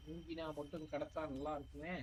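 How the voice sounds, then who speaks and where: A man's voice: on the high side, flat delivery, very fast, noisy background. A man with a Tamil accent chatting casually as he live-streams a game. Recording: dull lo-fi mic, music behind. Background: game music.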